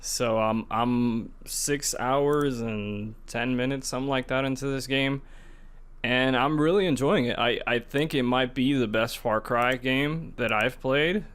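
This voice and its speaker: A man's voice: mid-range voice, measured pace, fairly bright tone, clear, resonant tone. A man co-hosting a podcast, chatting casually in a hesitant, loosely rambling way. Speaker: podcast co-host. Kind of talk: casual chat about video games.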